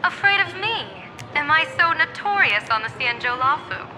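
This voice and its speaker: A woman's voice: sits high, expressive, moderate pace, noisy background. A woman voicing an enigmatic, shrewd general and fortune-teller in a video game, poised and formal with a teasing, imperious streak. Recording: loud music over the voice.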